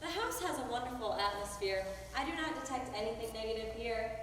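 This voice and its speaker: An actress on stage playing a pompous fake spirit medium who speaks in a grand, theatrical way. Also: female voice, on the high side, expressive, a little fast, a bit bright. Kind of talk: amateur stage acting. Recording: echoey hall from far off, noisy.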